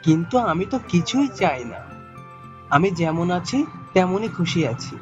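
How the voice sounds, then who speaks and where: Man playing a high-voiced, earnest young cowherd. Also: lively intonation, moderate pace, highs cut off, music in the background. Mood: content, modest. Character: humble, brave young cowherd lad. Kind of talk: cartoon fairy-tale voice acting.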